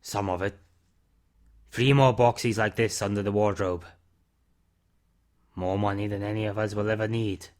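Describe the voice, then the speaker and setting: A man playing an older reclusive character in an amateur audio drama, calm, firm and fatherly, speaking in terse, measured phrases. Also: lowish voice, lightly acted. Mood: firm, matter-of-fact.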